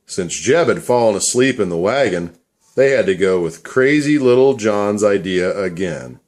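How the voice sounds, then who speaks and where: Low-voiced male storyteller with swooping intonation. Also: animated, moderate pace, a little mellow. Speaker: narrator. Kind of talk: scripted storybook narration for a puppet show.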